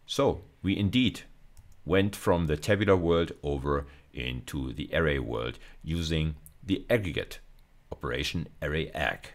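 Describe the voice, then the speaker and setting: A man's voice: rumbling low, lively intonation, unhurried. A middle-aged man giving an explanatory lecture, methodically rephrasing and repeating his key points. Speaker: university lecturer. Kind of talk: explanatory lecture over slides.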